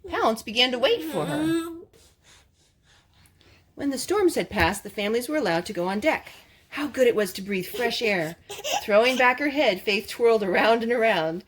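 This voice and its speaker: A woman's voice: on the high side, animated, normal speed. A woman reading a children's storybook aloud expressively, with casual asides to children. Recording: clearly noisy home recording with clicks.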